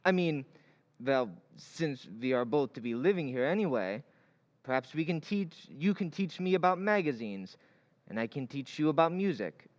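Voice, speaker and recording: A man's voice: on the high side, animated, moderate pace, natural voice. Man acting courteous music teacher, slightly muffled. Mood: hopeful, hesitant. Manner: polite, hesitant, careful phrasing. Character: courteous, humble male music teacher, gently earnest. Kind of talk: old-time radio drama acting.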